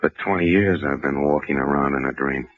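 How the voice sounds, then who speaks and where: Wistful middle-aged clerk, deep voice, halting, old radio drama, muffled recording. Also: male voice. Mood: wistful, bitter. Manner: halting, hesitant, repeats himself. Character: meek, weary middle-aged male bank messenger, wistful and disillusioned. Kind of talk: 1940s radio drama acting.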